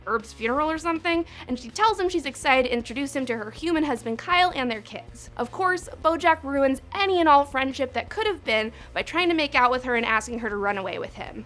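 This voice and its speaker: A woman's voice: sits high, lively intonation, a little fast, hardly any pauses, fairly bright tone, soft background music. A young woman hosting a countdown video, talking in a sarcastic, profane, chatty way. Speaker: host. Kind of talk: snarky countdown commentary.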